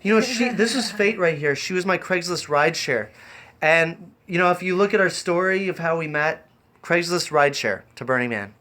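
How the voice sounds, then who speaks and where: A man's voice: a little high, animated, normal speed, sharp tone. A man hosting a casual improvised livestream, rambling and easily distracted, often repeating his phrases as he goes. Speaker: live-streaming host.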